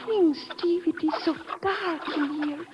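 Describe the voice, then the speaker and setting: An actress playing a frightened, urgent heroine with a Spanish accent in an old radio drama. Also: female voice, very high voice, unhurried. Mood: anxious. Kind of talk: 1950s radio drama acting. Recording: muffled hissy old radio, long reverb.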